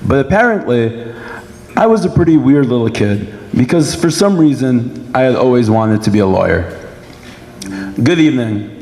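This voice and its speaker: Young guy from brooklyn doing a prepared speech w a sore throat, soft mellow tone, big echoey hall. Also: medium pitch, lively intonation, normal speed. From Brooklyn, New York, United States. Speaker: guest speaker giving a personal testimony. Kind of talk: prepared speech at a dinner event. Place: large indoor event hall. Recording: echoey hall with long reverb.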